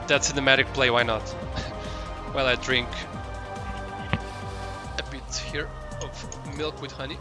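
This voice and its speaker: A man's voice: medium pitch, steady tone, slow pace, long pauses, loud music behind the voice. A man doing casual live commentary as a game streamer, rambling and hesitant as he searches for his words.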